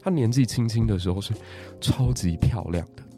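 A man, a guest history teacher, telling stories in lively casual podcast chat and laughing often. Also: on the low side, brisk, faint music behind. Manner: lively storytelling with frequent laughs.

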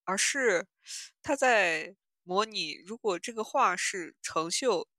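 A woman's voice: mid-range voice, lively intonation, takes it slowly, bright, forceful tone, no room sound. A woman hosting a podcast in casual chat, musing and building her points in short phrases. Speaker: podcast host. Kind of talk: casual chat reviewing audio dramas.

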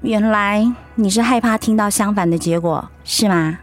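An actress with a Taiwanese accent playing an upbeat, teasing wife in recovery from illness in a radio drama, playful and reassuring. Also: female voice, medium pitch, moderate pace, faint music behind, natural voice. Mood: candid. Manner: playful, reassuring, chiding her husband. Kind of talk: Mandarin radio drama acting.

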